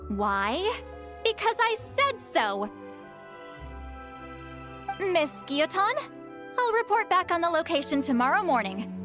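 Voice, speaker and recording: Bossy, huffy young woman, game character. High, very expressive, blunt, music underneath. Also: slow pace, long pauses, exaggerated voice. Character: grumpy, bossy, hard-drinking tsundere young woman shaman. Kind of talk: video game character acting. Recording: music over the voice.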